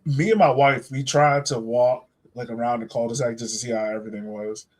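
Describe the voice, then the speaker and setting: A man's voice: medium pitch, fairly even intonation, brisk, a crowd in the background. A man hosting a sports fan podcast, chatting casually in an informal, slangy, enthusiastic way. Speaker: podcast host.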